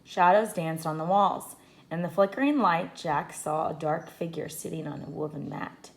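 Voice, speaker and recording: A woman reading a children's book aloud like a teacher, in expressive scripted storybook narration. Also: deep voice, normal speed, gravelly.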